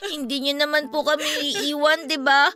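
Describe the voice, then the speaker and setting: Little girl eldest daughter, radio drama, bright high voice, polite n pleading. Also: flat delivery, normal speed. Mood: tearful, pleading. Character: dutiful, anxious young eldest daughter, about ten.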